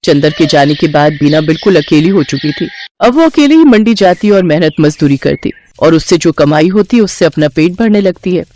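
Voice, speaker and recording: A woman's voice: sits low, animated, normal speed, mellow, a little hiss or hum. A woman reading a scripted moral story as its narrator.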